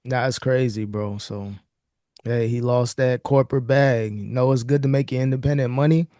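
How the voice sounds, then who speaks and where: Male podcast host with a warm, relaxed tone and dry sound, explaining casually in easygoing slang. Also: medium pitch, normal speed, clean tone, no room sound. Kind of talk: casual chat and commentary on news.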